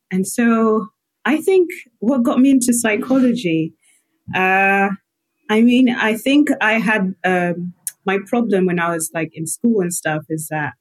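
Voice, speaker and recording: A woman with a slightly low voice speaks as an interview guest. She reflects on her own experience, pausing often as she thinks aloud. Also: rough recording. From Malawi. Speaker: guest, health psychologist. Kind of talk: reflective personal interview answers.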